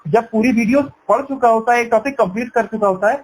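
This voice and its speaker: High-pitched man making a persuasive sales pitch. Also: fast talker. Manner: persuasive, explanatory, frequent "right?" tag questions; switches between Hindi and English. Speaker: education sales counselor. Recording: noisy sales call recording.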